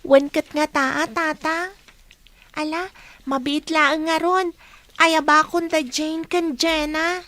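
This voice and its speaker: A woman's voice: high voice, little pitch movement, takes it slowly, a bit bright. A woman acting a polite, dutiful young student daughter in an audio drama.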